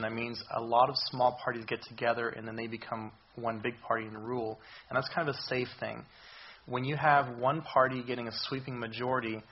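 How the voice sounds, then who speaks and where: A man giving a sermon as a visiting missions speaker, his voice hoarse from recent illness, talking in a halting way with many ums and restarts. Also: mid-range voice, dull audio, a little hiss or hum. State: hoarse, recovering voice. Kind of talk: church sermon. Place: church.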